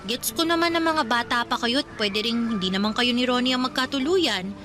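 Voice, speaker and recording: Radio drama role: woman as sentimental older girl cousin, fairly high voice, confiding, emotional, music clearly behind. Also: brisk, natural voice. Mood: encouraging. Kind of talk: Tagalog radio drama acting.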